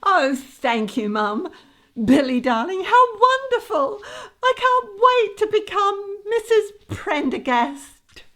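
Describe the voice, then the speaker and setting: A woman acting an excitable middle-aged bride-to-be daughter in a comic radio soap, gushing and theatrical. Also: very high voice, slow, full character voice.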